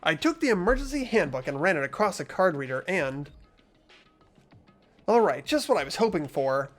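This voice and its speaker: A person reading visual novel narration and dialogue expressively, voicing an earnest, anxious high school student. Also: sing-song, moderate pace, soft background music. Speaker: player reading a video game's lead role aloud.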